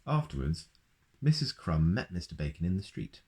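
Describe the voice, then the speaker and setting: A man's voice: low-pitched, big pitch swings, normal speed, a little mellow. A man reading a children's picture book aloud in a playful way, adding sound effects. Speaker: storyteller.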